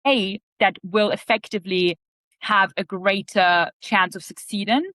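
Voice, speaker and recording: A woman from Austria speaks as an interview guest in a mid-pitched voice. Her delivery is conversational, earnest and reflective. The recording is dry and free of room echo. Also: unhurried, a bit bright. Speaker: podcast guest, leadership organization CEO.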